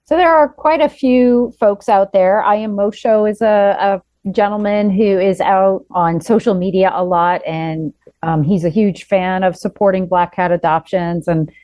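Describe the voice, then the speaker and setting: A woman hosting a podcast, asking questions in a relaxed, conversational way. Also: mid-range voice, measured pace, hardly any pauses, no echo. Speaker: podcast host. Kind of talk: casual interview chat. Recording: dry, dull lo-fi sound.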